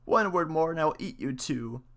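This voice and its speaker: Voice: medium pitch, expressive, moderate pace, creaky, a bit bright, pitched above the performer's own voice. A narrator as a sly, cool, greedy female cat. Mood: mocking, threatening. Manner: cool, offhand. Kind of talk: storybook character voice in a fairy tale reading.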